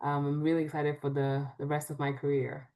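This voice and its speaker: A woman's voice: deep voice, level tone, a little fast, warm tone. A young woman from Cameroon, a psychiatrist, answering interview questions with frequent "um"s. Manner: frequent "um" before phrases. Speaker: guest, psychiatrist.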